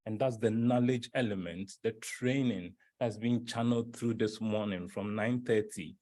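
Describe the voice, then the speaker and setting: A man's voice: lowish voice, a little mellow. A man from Ghana chairing a conference session, speaking emphatically, repeating words as he builds up to a proverb. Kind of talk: closing a plenary session. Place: conference hall plenary.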